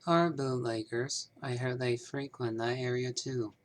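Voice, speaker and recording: A man acts out a male bank manager from a small town, in video game dialogue. He talks slowly and with measured formality. He comes across as guarded and troubled, a little hesitant when he explains himself. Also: medium pitch, fairly even intonation, natural voice. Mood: defensive. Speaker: amateur voice actor. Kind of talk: scripted game character dialogue, fan performance.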